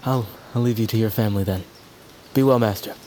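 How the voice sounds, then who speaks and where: Actor as good-natured young bear, noisy backdrop. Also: male voice, fairly low pitch, darkish tone, close to an everyday voice. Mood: respectful, eager. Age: young adult. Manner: bashful, halting, good-natured. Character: modest, loyal young bear archer, teasing friend. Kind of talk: audio drama acting.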